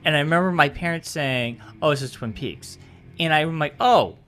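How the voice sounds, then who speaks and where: A man's voice: a little high, moderate pace, fairly bright tone. Easygoing man in his thirties hosting a podcast. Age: 30s. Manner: easygoing, rambling, hedges with "I guess". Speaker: podcast host. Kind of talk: casual podcast chat.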